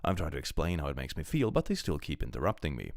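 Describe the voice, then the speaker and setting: A young man streaming live with a powerful voice, reading posts aloud and chatting casually, often jumping into excited exclamations. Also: fast, vocal fry, no room sound, studio quality. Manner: animated, jumps into high excited exclamations.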